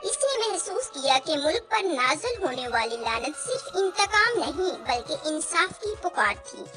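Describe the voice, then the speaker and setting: A woman's voice: extremely high pitch, very animated, moderate pace, pushed and bright, soft background music. A woman narrating a scripted fairy tale as a storyteller.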